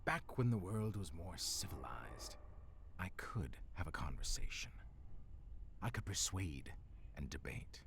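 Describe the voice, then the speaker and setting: A man's voice: deep voice, very animated, a little slow, gravelly, noisy background, exaggerated voice. A man playing an ancient, menacing demon and frost giant tyrant in an audio drama, speaking formally and slipping into whispers. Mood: stern, controlled. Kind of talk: dramatic audio roleplay acting.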